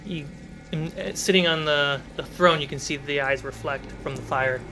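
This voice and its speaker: A man narrating a tabletop fantasy game as game master, in a measured, suspenseful way with dramatic pauses. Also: a little high. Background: cinematic music score.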